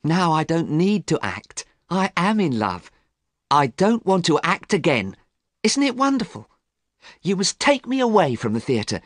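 A man's voice: sits high, sing-song, moderate pace, a little mellow, breathy edge, no room sound, clean studio sound, voice pushed up, heavily acted. A man voicing an earnest, lovestruck young actress in an audiobook story. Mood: joyful.

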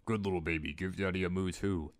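A man's voice: low-pitched, steady tone, quick pace, pitched below the performer's own voice. A man voicing a creepy, domineering online predator, reading chat messages dramatically. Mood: leering, controlling. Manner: domineering, leering. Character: creepy, bossy online man demanding obedience from a girl.

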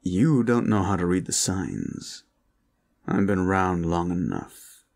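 A man playing a weary, serious and cautious protector in an audio roleplay, speaking in a measured, earnest way. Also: sits low, very animated, vocal fry, a little mellow, heavily acted. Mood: calm, earnest.

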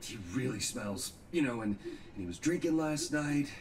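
A man's voice: mid-range voice, animated, normal speed, a little hiss or hum, lightly acted. An actor playing a nervous young man in an anime video game, stammering and evasive as he covers up his lies. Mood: panicked. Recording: game audio with music underneath.

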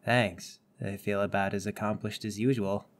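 A man's voice: lowish voice, level tone, moderate pace. A man running a tabletop roleplaying game, narrating and bantering in an exasperated, sarcastic way. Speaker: game master.

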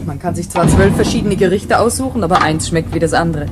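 A woman's voice: on the low side, fast, over loud music, lightly acted. An actress playing a modest, candid female stenographer with a reserved manner in a German science-fiction radio drama. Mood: matter-of-fact.

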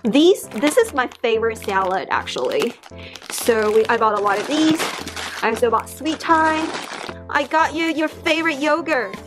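Woman vlogger, 30s, bright high voice, chatty and upbeat, handheld camera, music bed underneath. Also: animated, moderate pace. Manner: chatty, upbeat, filler-heavy. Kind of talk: casual vlog chat. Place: home kitchen and outdoors on a bike ride. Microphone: handheld camera at arm's length.

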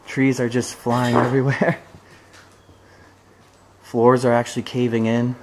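Young adult male explorer, chatty and curious, mid pitch, faint noise behind. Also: little pitch movement, measured pace. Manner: chatty, speculative, easily impressed. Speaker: urban explorer. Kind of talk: casual exploring vlog. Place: large abandoned resort building, indoors. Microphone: handheld camera.

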